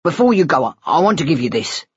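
A woman plays a stooped, weary father in a dramatised audiobook. The voice is low and rough-edged, pleading and full of remorse, with sighs. The sound is a little dull. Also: big pitch swings, fast talker, no room sound, exaggerated voice. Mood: pleading, emotional. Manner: sighing, pleading.

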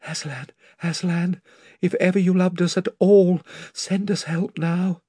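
A man's voice: sits high, steady tone, measured pace, mellow, breathy edge, no room sound, clean studio sound, voice pushed up. A man voicing a frightened young girl whispering a plea. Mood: pleading. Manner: whispered, pleading.

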